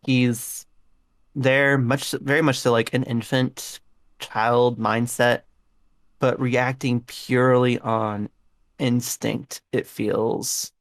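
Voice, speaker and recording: Very deep-voiced woman, rambling. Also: level tone, takes it slowly, dry recording. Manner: rambling, heavy use of "like". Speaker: podcast co-host. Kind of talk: casual anime discussion.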